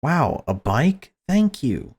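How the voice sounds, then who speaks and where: A man voicing excitable, bickering young children in a visual novel. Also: on the high side, slow, breathy edge, pitched above the performer's own voice, heavily acted. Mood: excited, squabbling. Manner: eager, bickering.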